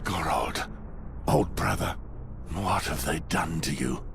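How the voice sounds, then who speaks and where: An actor playing a gruff, defiant captive ranger in a video game, with a gravelly voice. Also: male voice, a bass voice, slow pace, background noise, exaggerated voice. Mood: grim. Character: defiant, gruff male Night's Watch ranger held captive. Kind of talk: dramatic video game acting.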